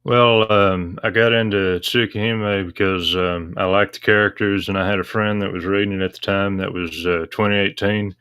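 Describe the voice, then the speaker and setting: A man with a very low, clear voice talks as a guest in a casual interview. His tone is bright and crisp with almost no room sound, and he explains things in a hesitant, meandering way. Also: steady tone, normal speed, few pauses, no room sound. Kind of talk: casual interview chat about visual novels.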